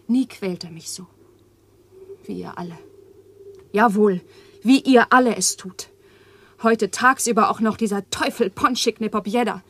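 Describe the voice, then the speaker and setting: An actress playing Eva, an overwrought and bitter young woman, in a radio play, speaking with impassioned swings from hushed to loud. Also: mid-range voice, unhurried, long pauses, slightly rough. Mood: intense. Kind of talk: 1980s radio drama acting.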